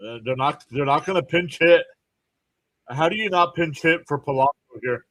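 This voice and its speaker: A man's voice: on the high side, moderate pace, a bit bright. A man hosting a live baseball stream, mixing clipped play-by-play calls with informal fan asides and chat to viewers. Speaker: livestream play-by-play host and fan.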